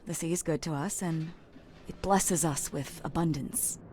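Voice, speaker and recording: A woman's voice: low voice, measured pace, background noise. A woman playing a friendly, eager charity recruiter in a video game, familiar and coaxing in manner. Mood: sweetly persuasive. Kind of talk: video game cutscene acting.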